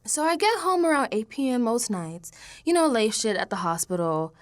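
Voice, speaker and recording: A woman's voice: fairly high pitch, lively intonation, moderate pace, close to an everyday voice. A woman playing a streetwise young New Yorker, telling her spooked audio diary in a slangy, conversational radio drama monologue. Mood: exasperated, chatty. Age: young adult. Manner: slangy, conversational, dropping into hushed asides.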